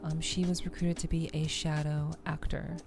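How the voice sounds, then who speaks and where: Low-pitched woman streamer with creaky voice. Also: little pitch movement, normal speed. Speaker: livestreamer host. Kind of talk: soft-spoken ASMR game stream. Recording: music behind the voice. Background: music.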